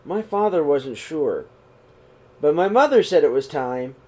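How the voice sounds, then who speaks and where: A middle-aged man reading a children's picture book aloud in a small room, slow and expressive. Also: on the high side. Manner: reads slowly and expressively, voices the characters' calls. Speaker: storybook reader. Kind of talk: read-aloud storytelling for children.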